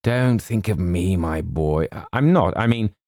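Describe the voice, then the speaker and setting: A man's voice: low-pitched, animated, unhurried, a little mellow, no room sound, clean studio sound. Man playing a sentimental, faltering old English colonel. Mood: mournful, noble. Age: older. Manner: hesitant, repeats himself, stumbles over names. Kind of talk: theatrical audiobook character voice.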